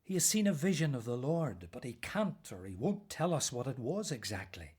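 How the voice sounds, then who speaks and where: A solemn, kindly man of the temple in a radio play. His voice is husky and swings in pitch, with a grave, formal manner. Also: sing-song, normal speed, a little mellow. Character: Jacob, solemn, kindly male temple colleague.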